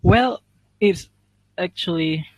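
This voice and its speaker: Higher-voiced Indonesian man, modest and polite. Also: takes it slowly, darkish tone, no room sound, low-quality recording. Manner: polite, modest, searching for words. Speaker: guest participant. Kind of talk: casual chat in learner's English.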